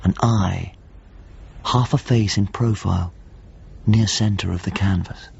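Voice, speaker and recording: A male actor playing the grave narrator of a radio drama, gravelly, measured and solemn as he describes things in literary prose. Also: low-pitched, fairly even intonation, a little mellow, highs cut off, faint music behind, full character voice. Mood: solemn, observant. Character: grave male narrator recalling paintings and past events.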